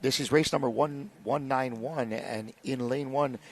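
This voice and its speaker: Male sports commentator from Hawaii, mid pitch, enthusiastic and friendly. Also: steady tone, unhurried, slight background noise. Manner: warm, enthusiastic storytelling between race calls. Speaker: race commentator. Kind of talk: live race commentary.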